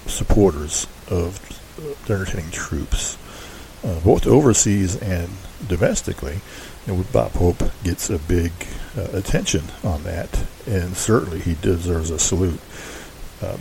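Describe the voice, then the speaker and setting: A man hosting a show, giving casual, unscripted background commentary in a halting, rambling, hedging way. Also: low voice, creaky, mellow, low-quality recording. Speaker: host introducing an old-time radio show. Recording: faint background hiss.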